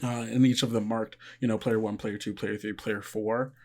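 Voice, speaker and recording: A man narrating a tabletop game as game master, casually and haltingly, feeling his way through descriptions. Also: lowish voice, little pitch movement, darkish tone, slight background noise. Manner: halting, filler-heavy scene description.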